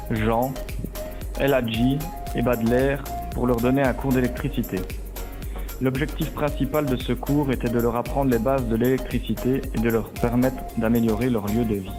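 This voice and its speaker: A young adult man, a student, reading scripted narration. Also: medium pitch. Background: music.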